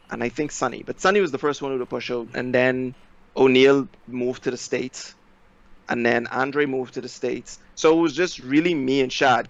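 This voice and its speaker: A Bahamian man in his thirties tells stories in a lively, slangy way and acts out every quote. His voice sits in a middle register, and the audio is dry and a little dull and lo-fi. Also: medium pitch, gravelly, dry recording. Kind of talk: casual storytelling chat.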